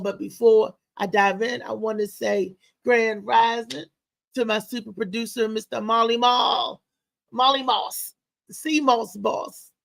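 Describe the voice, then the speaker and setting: High-voiced, brash woman hosting live. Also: creaky. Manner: brash, sassy, slangy. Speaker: live show host and comedian. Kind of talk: casual live morning show chat, ranting.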